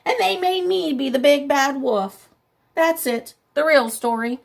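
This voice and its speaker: A woman's voice: sits high, measured pace, gravelly, voice pushed up. A woman voicing a wronged, indignant storybook wolf who tells his side in a chummy, dramatic way. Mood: aggrieved.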